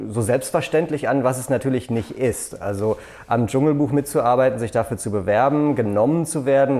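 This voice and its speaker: A man's voice: medium pitch, warm tone. A man hosting an interview, asking encouraging questions and often restarting his sentences. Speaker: interviewer, host.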